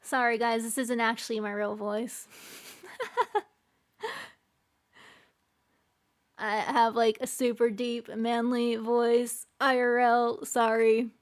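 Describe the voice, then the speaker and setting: A woman's voice: a little high, level tone, slow, long pauses. A woman streaming live, chatting casually with her chat, laughing often and trailing off with fillers. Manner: giggly, laughs often between lines.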